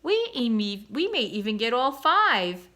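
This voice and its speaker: A woman's voice: on the high side, sing-song, slow, voice pushed up, heavily acted. A woman doing a blunt, sensible mother swan. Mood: dry. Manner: sharp, matter-of-fact.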